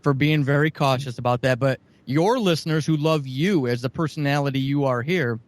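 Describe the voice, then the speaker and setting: A man's voice: mid-range voice, a little fast, dry recording. A man speaking as an interview guest, earnestly and emphatically promoting audio shows with effusive enthusiasm. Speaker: guest, audio drama producer.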